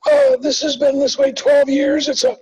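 An older man with a raspy voice introduces himself as a biology professor on a video call panel. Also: very high voice, big pitch swings, moderate pace, a little mellow. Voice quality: raspy voice from past vocal cancer. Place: home room, video call. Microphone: webcam on video call. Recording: distant muffled video-call audio.

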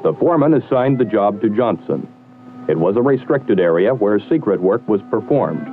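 A man reading scripted narration for a 1960s training film in a formal, measured, slightly wry manner. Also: fairly low pitch, animated, soft background music. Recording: muffled old 1960s film soundtrack.

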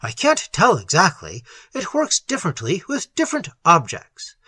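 An urgent male scientist from a pulp horror story, acted by a man reader, commanding and emphatic. The voice is raised and sharp, swinging widely as he explains and barks orders. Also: sits high, wildly swooping pitch, normal speed, slightly rough, no room sound, studio quality, voice pushed up, full character voice. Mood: grave, insistent. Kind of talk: dramatized horror story reading.

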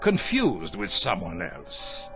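An actor playing a stammering, cruel former camp captain in a radio drama. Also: male voice, low-pitched, wildly swooping pitch, takes it slowly, full character voice. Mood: nervous. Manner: stammering, mocking laughs, then fearful. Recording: radio play with music behind.

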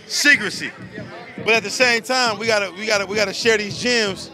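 Fast bright-voiced Bay Area rapper. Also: male voice, high-pitched, faint music behind, some chatter behind. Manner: preachy, rapid, fills with "you know what I mean". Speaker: rapper guest. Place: outdoor festival. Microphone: handheld mic.

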